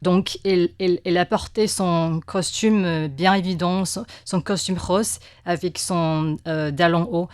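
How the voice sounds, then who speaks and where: A woman's voice: on the low side, fairly even intonation, a little slow, few pauses, smooth, no echo. Hesitant Taiwanese woman speaking French. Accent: Taiwanese Mandarin. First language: Mandarin. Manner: hesitant, many fillers and restarts. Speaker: guest press cartoonist. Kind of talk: radio interview answers.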